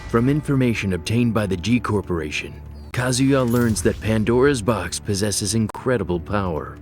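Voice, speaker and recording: A man's voice: on the low side, moderate pace, natural voice. A man reading scripted dramatic narration as the narrator of a video game story. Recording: music behind.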